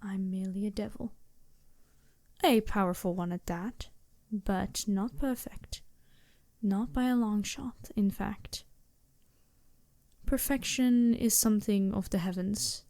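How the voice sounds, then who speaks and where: Slow, soft-toned regal demon princess, played by a woman. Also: mid-range voice, no room sound. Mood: poised, self-assured. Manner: deliberate, softly commanding, measured. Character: regal female demon princess, poised, imperious yet compassionate. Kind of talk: intimate ASMR roleplay.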